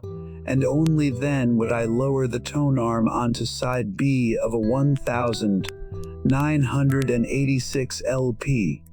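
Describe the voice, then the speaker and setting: A man playing a wistful musician in his sixties, telling his own story in a reflective, dryly wry, measured way over music. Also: medium pitch, lightly acted. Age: 60s. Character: wistful 62-year-old male musician narrating his life. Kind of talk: scripted first-person storytelling. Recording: soft music behind the voice. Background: instrumental music.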